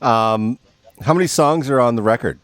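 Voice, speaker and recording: A man's voice: mid-range voice, moderate pace, no echo, studio quality. A man hosting a podcast, asking questions and telling stories with laughter. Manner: animated, laughing storyteller. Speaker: podcast host. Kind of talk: casual interview chat.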